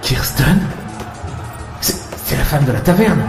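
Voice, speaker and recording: A man's voice: medium pitch, lively intonation, a little slow, creaky. A man playing an adventurer's urgent, tense inner thoughts in an audio drama, with an echo effect. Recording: echoey, loud music and crowd behind.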